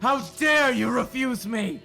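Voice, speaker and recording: A man's voice: extremely high pitch, unhurried, gravelly, pushed and bright, faint music behind, full character voice. An actor playing a cruel sheriff at a witch-trial execution in an audio drama, declaiming commands and demands. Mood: frenzied rage.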